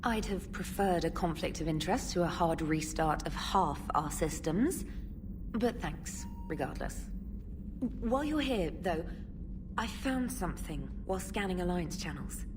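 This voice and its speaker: A woman's voice: fairly low pitch, animated, a little slow. An actress playing an earnest, efficient female communications officer in a video game, articulate and professional. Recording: slightly distant, noisy game ambience.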